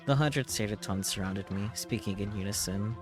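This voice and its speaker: A man's voice: fairly low pitch, normal speed. A man streaming a visual novel, reading the game's text aloud as dramatic narration. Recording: loud music behind the voice.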